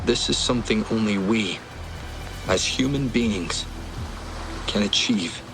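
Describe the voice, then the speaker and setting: A man voicing a solemn leader in a video game, delivering a measured, grave and deliberate monologue. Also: fairly low pitch, over music, rough recording. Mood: solemn resolve. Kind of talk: scripted dramatic game monologue.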